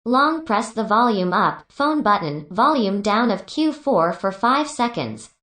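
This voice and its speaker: A woman's voice: mid-range voice, very animated, a little slow, a bit bright, echoey room. A woman giving scripted product tutorial narration in clipped, step-by-step instructions.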